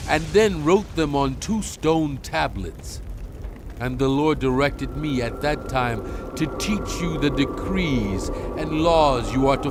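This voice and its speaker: A man playing a solemn, stern prophet and leader exhorting his people in a measured, dramatized scripture reading. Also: medium pitch, very noisy, lightly acted. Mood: solemn, reverent. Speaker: Bible narrator.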